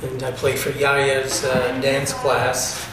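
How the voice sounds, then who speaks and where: A man's voice: mid-range voice, completely flat delivery, slow. A man from Italy who teaches drumming, explaining things casually with many fillers. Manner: chatty, explanatory, lots of fillers. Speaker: drum teacher. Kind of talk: casual interview answers. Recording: echoey room, distant mic, noisy.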